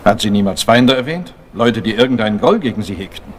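An actor in a German crime radio play playing a courteous Scotland Yard chief inspector who questions methodically and with dry insistence. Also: male voice, lowish voice, expressive, a little fast, slight background noise, close to an everyday voice. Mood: matter-of-fact probing.

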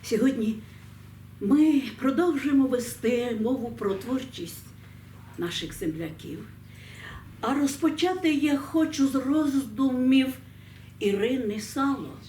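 Echoey room with faint noise, woman, solemn poetry recitation, very slow deliberate phrasing, expressive pauses. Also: on the high side, far from the mic. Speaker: program presenter and poetry reader.

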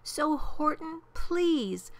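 Woman doing a high, piping tiny storybook mayor. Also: sing-song, unhurried, bright, forceful tone, dry recording, heavily acted. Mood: plaintive, pleading. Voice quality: piping, small. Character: tiny, polite, grateful mayor of miniature people.

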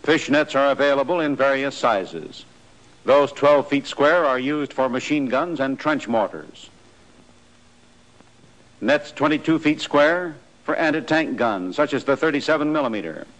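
A man giving scripted, measured instructional narration for a 1940s army training film. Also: mid-range voice, expressive, fairly bright tone, poor audio.

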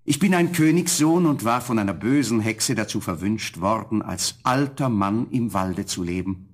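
Husky, noble young prince. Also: male voice, lowish voice, expressive, moderate pace. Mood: solemn, happy. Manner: solemn, courtly, tender. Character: young, noble, tender prince freed from a spell. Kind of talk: 1970s German fairy-tale audio play acting.

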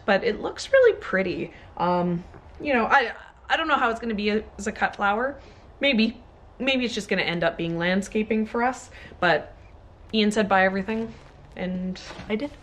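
A woman's voice: a little high, fairly bright tone. A woman in her thirties with a Canadian accent, chatting to camera in a casual, rambling and enthusiastic way as she unboxes stock in a garage. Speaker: flower farmer and vlogger. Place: indoors in a large cluttered garage workshop.

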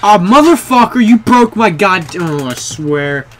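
A man's voice: high voice, a little slow. Jumpy young guy playing a horror game. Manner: jumpy, excitable, swears a lot, talks to viewers. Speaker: gaming video creator. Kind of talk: casual horror let's play commentary. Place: small bedroom.

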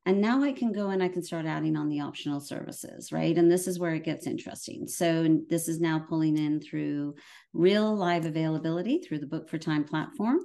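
Low, mellow Irish woman presenting. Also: brisk, vocal fry. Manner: hesitant, many fillers and restarts. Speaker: webinar presenter. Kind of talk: casual product presentation. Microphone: video call.

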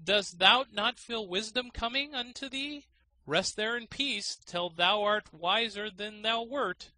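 Man putting on a high, grandiose storybook trickster. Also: pushed and bright, dry recording, full character voice. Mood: mocking. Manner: declamatory, slow and grandiose archaic speech. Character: trapped man feigning lofty, boastful wisdom. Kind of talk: storybook character reading.

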